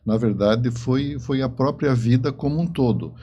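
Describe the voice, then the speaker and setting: A man in his seventies with a Brazilian accent answering interview questions conversationally, in a halting way that repeats words. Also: mid-range voice, warm tone. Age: 70s. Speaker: interview guest, writer and engineer.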